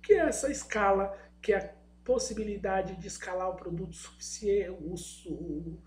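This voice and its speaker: A middle-aged Brazilian man with a slightly hoarse voice, giving an informal talk as a guest speaker in a hesitant way, searching for words and adding tag questions. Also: sits high, a little mellow. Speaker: guest speaker, product designer and innovation agent. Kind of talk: informal spoken presentation. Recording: echoey room with faint hum.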